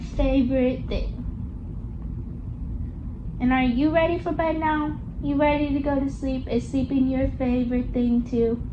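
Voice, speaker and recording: High-pitched woman reading slowly to a child. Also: muffled recording, background noise. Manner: coaxing, questioning, sing-song child-directed talk. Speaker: storybook reader for a young child. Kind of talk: dialogic picture-book read-aloud with questions to a child.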